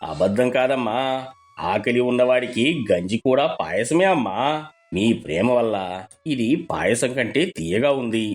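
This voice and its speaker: A man's voice: medium pitch, animated, measured pace, natural voice. A man playing a hearty, kindly middle-aged village uncle in a storybook dialogue, exclaiming in colloquial speech. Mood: appreciative. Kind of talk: acted moral storybook dialogue.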